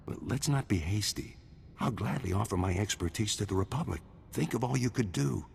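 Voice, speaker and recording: Smug, gravelly villain doctor. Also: male voice, on the low side, animated, fairly quick, a little mellow, slight background noise. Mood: pleading, oily. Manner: unctuous, politely mocking. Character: smug amoral male surgeon-scientist, a glib collaborator villain. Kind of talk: scripted video game cutscene acting.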